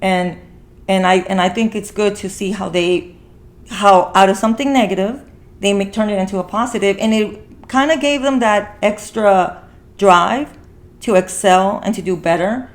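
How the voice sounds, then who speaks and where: A woman's voice: mid-range voice, moderate pace. A woman speaks as a talk show host in a casual review discussion. Her delivery is earnest and conversational, with a mid-range voice, and she circles back to repeat phrases for emphasis. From Mexican heritage.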